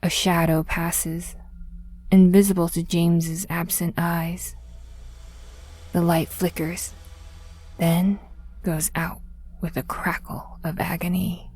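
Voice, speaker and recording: A woman reading scripted dramatic narration in a measured, brooding, literary manner. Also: fairly low pitch, flat delivery, a little mellow.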